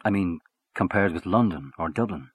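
A man's voice: low voice, expressive, moderate pace, husky, no echo. Man doing a timid, shy young clerk. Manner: hesitant, timid.

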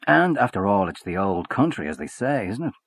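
A man voicing a boastful, worldly man who talks in a loud, hearty, swaggering way. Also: on the low side, big pitch swings, brisk, slightly rough, full character voice. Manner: expansive, swaggering, back-slapping.

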